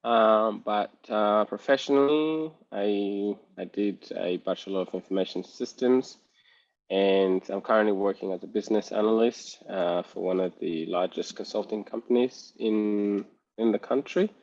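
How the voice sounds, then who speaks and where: A man in his thirties from Sudan, answering interview questions in a measured, reflective way. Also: lowish voice, a little mellow, no room sound, dull audio. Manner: measured and reflective, pausing to gather thoughts. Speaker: interview guest.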